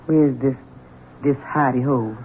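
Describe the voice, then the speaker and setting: A woman's voice: very deep voice, measured pace, slight background noise. A woman playing an anxious household servant in a radio drama, dutiful but protesting. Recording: muffled old radio broadcast.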